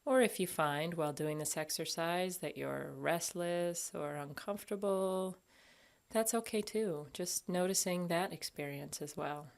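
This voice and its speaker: A woman with a fairly low voice leads a guided body scan meditation. She speaks as an instructor, gently and unhurriedly. She leaves long pauses between her phrases. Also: gravelly.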